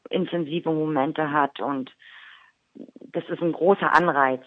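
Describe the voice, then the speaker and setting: A woman's voice: deep voice, fairly even intonation, no echo. A woman with a Berlin accent, an actress being interviewed on the radio, talking openly and chattily. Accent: Berlin German. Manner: chatty, open, quick to laugh. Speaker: actress guest. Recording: dull lo-fi radio recording.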